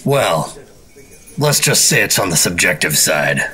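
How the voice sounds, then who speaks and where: An actor playing a stern government secret agent in a 1950s-style noir radio drama, with a dry, clipped, official manner. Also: male voice, sits low, big pitch swings, unhurried, full character voice. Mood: dry, dismissive. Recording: loud music bed behind voice.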